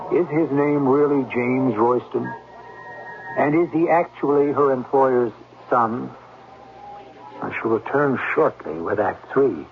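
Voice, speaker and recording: A man's voice: medium pitch, a little hiss or hum. A man narrates a suspense radio show as its host. His delivery is theatrical and portentous, with dramatic weight on each phrase. The sound is narrow and tinny, like a vintage broadcast.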